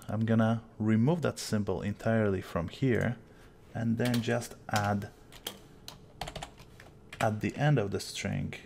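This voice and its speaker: Gravelly Polish-accented man teaching software. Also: on the low side, slight background noise. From Poland. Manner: explanatory, step-by-step, relaxed. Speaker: software tutorial host. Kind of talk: screen-recorded software tutorial.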